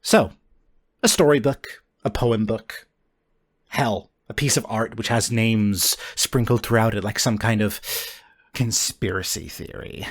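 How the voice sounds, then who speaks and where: A man voicing an intense, obsessive four-armed character in a tabletop game, with deliberate, theatrical phrasing. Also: lowish voice. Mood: thoughtful, sheepish. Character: intense, obsessive four-armed gamer, exasperated yet sheepish.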